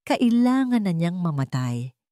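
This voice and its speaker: A woman's voice: lowish voice, big pitch swings, measured pace, dark tone, dry recording, clean studio sound. A woman voicing a hurt young wife who turns coldly determined, in a radio drama story. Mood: cold, bitter.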